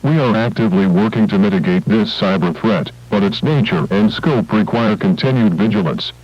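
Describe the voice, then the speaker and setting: Through a dull, band-limited, radio-like channel, a man reads a formal government alert. His voice is fairly low, dry and without room sound. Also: moderate pace, no room sound. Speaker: emergency alert announcer.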